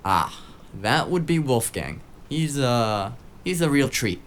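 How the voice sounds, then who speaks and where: An actor playing a cheeky, eager, tech-savvy sidekick in a noir audio drama, with breezy, casual banter. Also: male voice, mid-range voice, big pitch swings, slow pace, a little hiss or hum. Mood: casual, informative.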